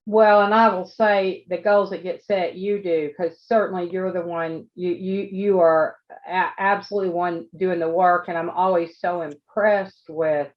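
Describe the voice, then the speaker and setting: A woman's voice: on the low side, steady tone, a little slow, few pauses, highs cut off. A woman business coach hosting an interview over a video call, encouraging and repeating words as she thinks. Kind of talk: interview host, casual.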